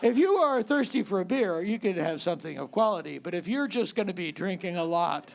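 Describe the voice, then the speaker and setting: A man's voice: high-pitched, lively intonation, a little fast, gravelly, background noise. A man hosting a live comedy talk show on a theater stage, rambling and giggly with mock bewilderment.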